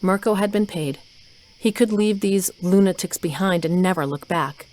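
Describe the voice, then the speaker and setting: A woman's voice: lowish voice, normal speed, a little mellow. A woman reading scripted story narration for an audio drama. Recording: noisy ambient sound effects.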